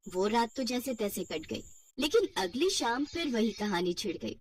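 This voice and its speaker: A woman's voice: a little high, sing-song, normal speed, slightly rough, distant mic. A woman telling a scripted family cartoon story as narrator.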